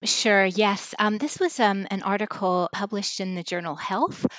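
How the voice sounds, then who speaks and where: A woman researcher telling a personal story in an interview, in a measured and reflective way. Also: medium pitch, a bit bright, no echo, low-quality recording. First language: English. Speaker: researcher guest.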